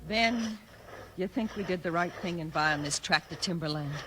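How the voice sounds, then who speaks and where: A woman's voice: on the low side, moderate pace, close to an everyday voice. A woman playing an anxious frontier wife in a 1950s radio western. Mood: worried. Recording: faintly noisy old radio recording.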